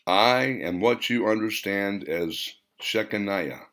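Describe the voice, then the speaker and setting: A man voicing a gentle, solemn female spirit in an audiobook, giving blessings in a reverent, measured way. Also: on the low side, lively intonation, exaggerated voice. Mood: solemn revelation.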